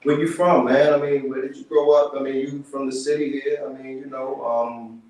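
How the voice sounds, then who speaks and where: A man's voice: medium pitch, level tone, normal speed, a little mellow. A man hosting a casual interview show, easygoing and calling people "man". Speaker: show host. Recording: roomy, mic at a distance.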